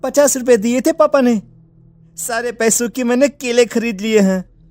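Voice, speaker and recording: A man's voice: very high-pitched, moderate pace, darkish tone, no echo. A man playing an eager young village boy in a children's cartoon. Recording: background music under the voice.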